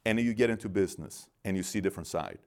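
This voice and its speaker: A middle-aged man from Iran with a fairly low voice talks through his story as an interview guest. He acts out both sides of every conversation and keeps the tale moving with lively pacing. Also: very fast. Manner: animated storyteller, acts out dialogue in quotes. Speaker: guest, business founder.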